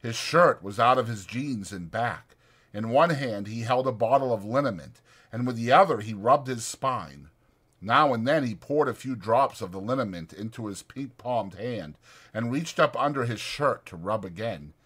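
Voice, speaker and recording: A male narrator reads literary prose for a dramatized audiobook. His pitch moves in a lively, expressive way as he delivers the scripted narration. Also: moderate pace, slightly rough.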